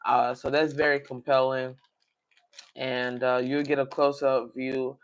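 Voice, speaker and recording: A man hosting a coin collecting livestream, rambling in casual chat to his viewers with a slightly raspy voice. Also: mid-range voice, little pitch movement, unhurried. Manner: rambling, talks directly to viewers. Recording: dull lo-fi livestream audio.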